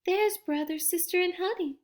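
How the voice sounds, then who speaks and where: A woman's voice: extremely high pitch, normal speed, voice pushed up. A woman voicing a fussy, doting grandmother bear from a children's picture book. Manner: cooing and scolding.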